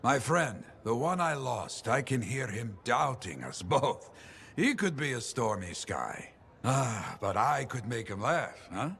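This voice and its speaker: Gravelly, slow, expressive storyteller. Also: male voice, mid-range voice, exaggerated voice. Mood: wistful. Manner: expressive, reflective, measured. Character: wistful male storyteller, courteous, gently philosophical, grieving. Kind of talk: video game dialogue acting. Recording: clear ambient noise behind the voice.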